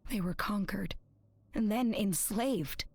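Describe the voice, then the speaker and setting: A young woman playing an earnest, clever teenage alien girl in an animated video game, thoughtful and dryly teasing. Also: mid-range voice, slow pace, slightly rough, dry recording.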